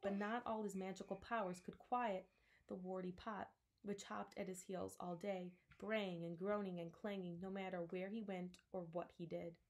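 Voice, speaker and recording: Female storyteller, mid-range voice, dramatic and expressive read-aloud of a tale. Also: medium pitch, moderate pace. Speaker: teacher reading aloud.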